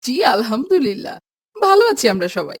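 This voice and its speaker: A cheerful, excitable schoolboy from a moral cartoon, acted by a woman. The voice is high and bouncy in pitch. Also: moderate pace, husky. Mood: cheerful, excited. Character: cheerful, excitable schoolboy among picnic friends. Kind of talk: children's moral cartoon dubbing.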